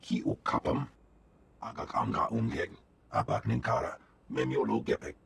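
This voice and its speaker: An alien villager with an extremely creaky, rasping throat for a video game. The voice sits fairly low and chews through a strange invented tongue. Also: male voice, animated, normal speed, a bit bright, heavily acted. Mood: pleading, grave. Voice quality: gravelly, rasping.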